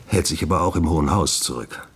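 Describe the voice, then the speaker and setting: An actor playing a troubled, secretive gentleman scientist in a German radio play, urbane, condescending and evasive. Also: extremely low pitch, fast, husky. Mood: urbane, dry.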